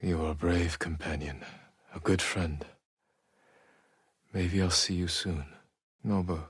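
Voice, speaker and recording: An honorable samurai warrior from a video game, solemn and reflective. His voice is deep and rough-edged, recorded close and very dry. He speaks with restraint, like a stoic man carrying grief. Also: steady tone, dry recording, full character voice. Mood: sorrowful. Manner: restrained, solemn, measured delivery. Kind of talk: dramatic video game acting, reflective monologue.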